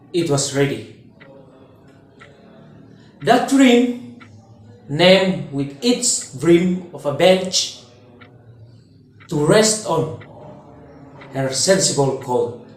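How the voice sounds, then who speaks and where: Very echoey, slow young man reciting verse. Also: fairly high pitch. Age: young adult. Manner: halting, short weighted phrases. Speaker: student performer. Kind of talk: dramatic poetry recitation. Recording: very echoey, reverberant room.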